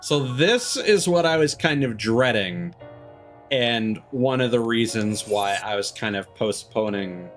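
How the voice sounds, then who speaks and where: A man's voice: mid-range voice, lively intonation, measured pace, faint music behind. A man hosting a livestream, giving casual, rambling, hesitant commentary on a game and chatting to viewers. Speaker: livestream host.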